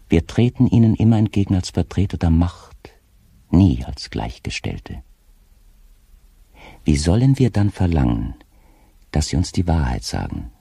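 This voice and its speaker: An actor playing a tormented, guilt-ridden official slipping into madness, reading his diary in a brooding, deliberate way in an audio drama. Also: extremely low pitch, creaky, warm tone, breathy edge, no echo, exaggerated voice.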